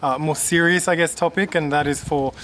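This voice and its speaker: A man in his late twenties from Australia, talking casually to camera in a relaxed, rambling way with many fillers and restarts. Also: a little high, a little fast, slight background noise. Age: late 20s. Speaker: vlogger.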